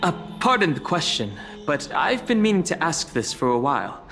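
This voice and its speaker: A man playing a calm, guarded and courteous young traveler in a video game, speaking politely and with reserve. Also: on the high side, moderate pace, vocal fry, over music. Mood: reserved, curious.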